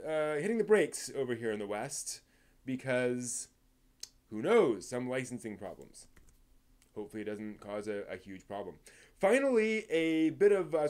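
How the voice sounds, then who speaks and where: An American man hosting a live stream, reading out news in a casual, halting, off-the-cuff way. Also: medium pitch, darkish tone, clear, resonant tone.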